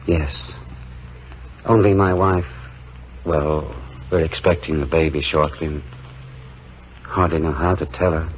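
An actor playing a gentle, devout small-town pastor in an earnest, measured 1940s radio drama style. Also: male voice, a bass voice, steady tone, slight background noise. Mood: hesitant, sincere. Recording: dull vintage radio recording with music.

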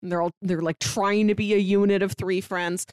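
A woman chatting casually as a podcast co-host, retelling a story in an animated way and acting out quotes. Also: medium pitch, a little fast, smooth, dry recording, clean studio sound. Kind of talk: casual chat recapping a manga plot.

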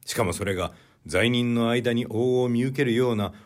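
A man reading classic literary fiction as an audiobook narrator, in a measured, deliberate way. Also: medium pitch.

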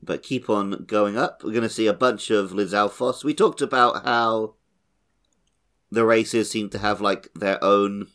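A man's voice: lowish voice, steady tone, a little slow, a bit bright. A man hosting a podcast, chatting casually about a video game. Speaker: podcast host.

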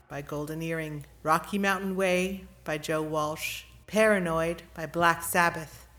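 A woman's voice: fairly low pitch, a bit bright. A woman host presenting in a scripted style. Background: music.

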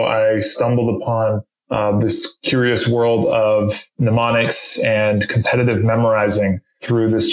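A man whose first language is French, talking as an interview guest in a halting way with many fillers and restarts. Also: lowish voice. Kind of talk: casual interview conversation. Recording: roomy echo.

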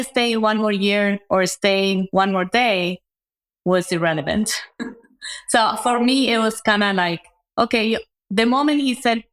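A woman's voice: mid-range voice, fairly bright tone. Woman telling her story. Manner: reflective, leans on "like" and "right?". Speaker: guest entrepreneur. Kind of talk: conversational interview storytelling.

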